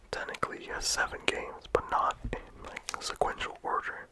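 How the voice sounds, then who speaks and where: Soft casual ASMR whisperer. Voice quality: whispered throughout. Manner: casual rambling with many "like" fillers. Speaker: game reviewer. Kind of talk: whispered ASMR game review.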